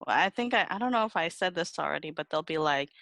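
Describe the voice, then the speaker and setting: A woman's voice: low-pitched, quick pace, creaky, no echo. A young woman co-hosting a casual podcast chat, swearing freely and acting out what people said to her as she tells stories. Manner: sweary, animated storytelling with acted-out quotes. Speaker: podcast host. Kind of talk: casual, unfiltered group chat.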